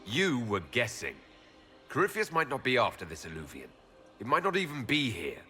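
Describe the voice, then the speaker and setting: Bright, expressive commanding elf man. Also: medium pitch, moderate pace, faint music behind. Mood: questioning, skeptical. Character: male elven Inquisitor, assured, wry war leader. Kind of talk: video game dialogue acting.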